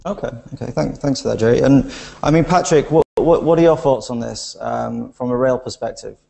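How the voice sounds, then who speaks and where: A man moderating a panel discussion, with a gravelly voice and hesitant restarts. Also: medium pitch, fairly quick, warm tone. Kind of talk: moderating a panel over video call. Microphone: video call. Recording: distant voice with long reverb.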